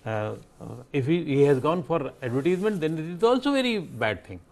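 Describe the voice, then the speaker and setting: A man with an Indian accent speaks as a guest in a panel discussion. His voice sits a little high, and his sentences come out halting and in fragments. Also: sing-song, darkish tone.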